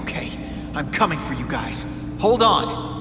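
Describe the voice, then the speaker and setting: A man's voice: on the high side, big pitch swings, a little slow, over music. An actor with an American accent playing an earnest, quippy young male superhero in a video game cutscene. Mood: urgent, reassuring. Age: young adult.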